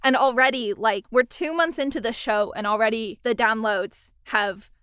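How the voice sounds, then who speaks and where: A woman's voice: on the high side, normal speed, a bit bright, smooth, dry recording, clean studio sound. A woman interviewing her fellow audio drama producer in a chatty, friendly way. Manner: chatty, friendly, laughs between thoughts. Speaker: interviewer and dialogue editor. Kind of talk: casual interview chat.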